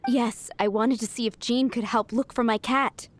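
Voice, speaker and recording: A woman's voice: fairly high pitch, steady tone, moderate pace, heavy background noise, close to an everyday voice. A woman playing a chatty, somewhat scatterbrained female tavern keeper looking for her lost cat. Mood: worried. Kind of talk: video game dialogue. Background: game music.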